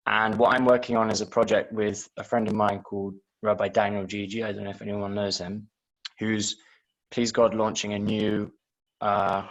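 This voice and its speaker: A man's voice: lowish voice, a bit bright, poor audio. A man introducing himself and asking a question in an online class, rambling and hesitant, on a video call. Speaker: class participant.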